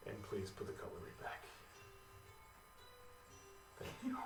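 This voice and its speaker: A man's voice: huge pitch swings, measured pace, music in the background, exaggerated voice. A man playing a weary old giant king. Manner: low, hushed and formal. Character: aging giant male king, weary but courteous. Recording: roomy and distant with faint noise.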